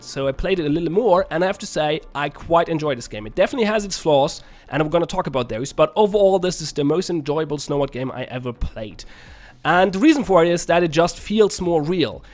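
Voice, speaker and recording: A man's voice: on the high side, darkish tone. A young man, a little sick, casually commenting on a game as he plays, rambling and correcting himself often. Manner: rambling, self-correcting, laughs at own plays. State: a little sick, voice slightly rough. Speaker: game reviewer.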